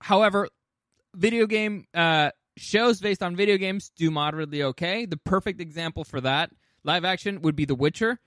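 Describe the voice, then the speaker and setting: A man with a fairly high voice, recorded close and dry. He talks as a podcast co-host in loose, casual conversation, opinionated and quick to swear. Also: a bit bright, no room sound. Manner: opinionated, profane. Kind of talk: casual chat about film and game adaptations.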